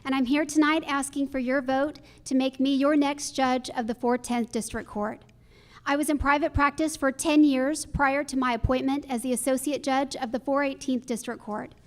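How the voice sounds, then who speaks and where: A woman's voice: high-pitched, fairly even intonation, normal speed. A woman judge giving a prepared campaign introduction as a candidate at a forum. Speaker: judicial candidate, associate judge.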